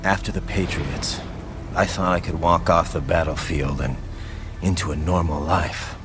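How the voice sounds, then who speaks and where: A man's voice: fairly even intonation, normal speed, natural voice. An actor playing a grim male cyborg warrior who broods darkly and admits his love of killing, in a video game cutscene. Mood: brooding. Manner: low, gravelly, brooding, turning to savage shouts. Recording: game cutscene over loud music.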